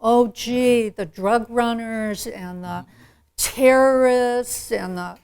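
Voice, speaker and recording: Woman panelist, blunt and animated, slight vocal fry. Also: fairly high pitch, takes it slowly. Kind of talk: panel discussion.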